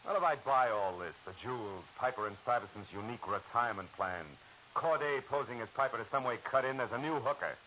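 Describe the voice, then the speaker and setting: An actor playing a wry, hard-boiled private detective in a 1940s radio noir drama, sardonic, clipped and full of wisecracks. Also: male voice, mid-range voice, fairly quick, natural voice. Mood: skeptical, needling. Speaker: lead actor and narrator. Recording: hissy, muffled old radio recording.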